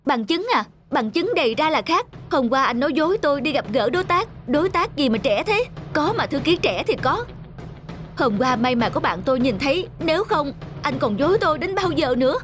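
A woman voicing a jealous, hot-tempered young wife in an animated drama, with a sarcastic, accusing manner. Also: sits high, fairly quick, music in the background. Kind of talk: animated cartoon drama acting.

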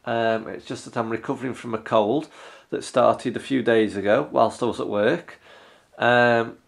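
A man's voice: medium pitch, steady tone, normal speed, vocal fry. A young man with a stuffy, bunged-up voice from a cold, rambling casually with many ums while reviewing a model truck at home. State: congested from a cold. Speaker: model collector and channel host. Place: living room by a fireplace.

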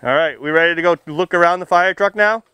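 High-pitched, clear American male firefighter. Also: fast talker, pushed and bright, dry recording. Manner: projecting, asking simple questions, folksy. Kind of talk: informal demonstration talk to young children. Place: outdoors, under a tent and beside a fire truck.

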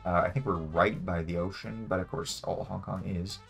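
A young man chatting casually as a guest player, thinking aloud in a low-key way as he plays a game. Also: fairly even intonation, normal speed, vocal fry, a bit bright, husky. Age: young adult. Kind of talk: casual chat while playing a game. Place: indoor room, sharing a webcam frame with a friend. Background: music.

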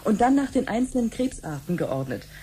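An actress playing an obliging clinic researcher in a 1970s radio play, explaining things patiently and conversationally. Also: female voice, fairly high pitch, sing-song, fairly quick, warm tone, a little hiss or hum. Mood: matter-of-fact, explaining. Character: chatty, obliging female clinic researcher showing her sister around.

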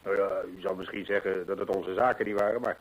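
A low, husky male voice playing an anxious horse trainer in a radio play. He is gossipy and emphatic, telling things as a confidant would. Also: steady tone, fast talker, lo-fi sound. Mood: ominous. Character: talkative, anxious English horse trainer Mason. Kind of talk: Dutch radio drama acting.

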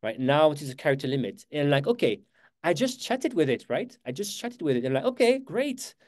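Host: man, 40s, French accent, talks fast and loose, restarts sentences, laptop mic. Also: a little high, big pitch swings, darkish tone. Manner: fast, chatty, self-correcting, with quick laughs. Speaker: workshop host. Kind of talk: live online workshop with screen share.

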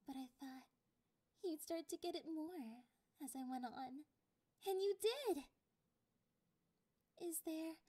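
A woman voicing a clingy, possessive catgirl girlfriend in an ASMR roleplay, with a playful, condescending and drawn-out delivery. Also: high-pitched, darkish tone. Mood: soft, affectionate.

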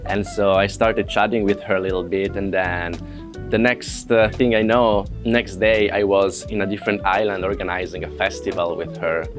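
A man's voice: low voice, steady tone, moderate pace, over music. A man in his late twenties, a native Italian speaker, chatting casually to the camera with fillers and restarts, recorded on a lapel mic indoors. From Italian household. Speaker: presenter.

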